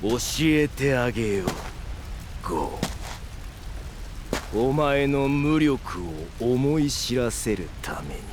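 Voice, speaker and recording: A man's voice: medium pitch, sing-song, slow, long pauses, background noise. An actor playing a gloating, megalomaniac scheming villain in an audio drama, theatrical and condescending, drawing out his taunts. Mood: smug, gloating. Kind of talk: Japanese audio drama acting.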